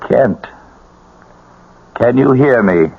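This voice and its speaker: A man acting in a radio drama as a humane, weary military doctor, speaking in a measured, frank, concerned way. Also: medium pitch, animated. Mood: businesslike, gentle. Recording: muffled, hissy old radio broadcast.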